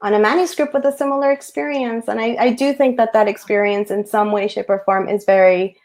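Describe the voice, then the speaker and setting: Author, woman, Spanish-first speaker, animated hesitant chat over a video call. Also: medium pitch, fairly quick. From United States. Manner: animated, hesitant with many ums. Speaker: picture book author. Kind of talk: casual webinar talk.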